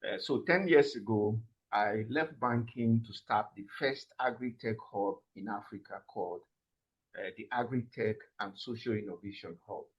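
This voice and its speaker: Male guest from Nigeria, husky and measured, lo-fi remote audio. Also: on the low side. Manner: measured, frequent "uh" fillers. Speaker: guest, summit president. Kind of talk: interview answers.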